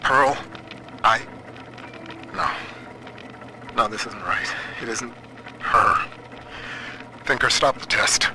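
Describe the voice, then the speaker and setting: A man playing an earnest, world-weary computer scientist in a dystopian video game, speaking in a measured, folksy, plain way. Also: mid-range voice, noisy background. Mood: distraught. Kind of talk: video game voice acting, radio messages and audio diaries.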